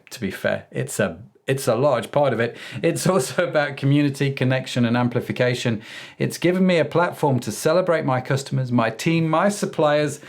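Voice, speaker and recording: An English man hosting a podcast interview in a chatty, lively way, reading a sponsor spot and talking with his guest. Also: mid-range voice, vocal fry. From England. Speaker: podcast host.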